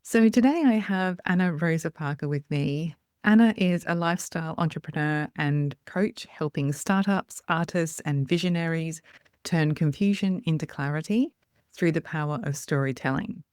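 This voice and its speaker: Low-voiced woman hosting a podcast. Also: moderate pace, darkish tone, no echo. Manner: scripted intro, then brief listening murmurs. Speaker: podcast host. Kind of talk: podcast interview.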